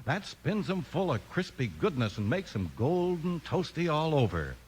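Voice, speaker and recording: A man's voice: on the high side, very animated, moderate pace, darkish tone. An enthusiastic American man announcing in a punchy 1950s radio style. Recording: noisy old radio transcription with clicks.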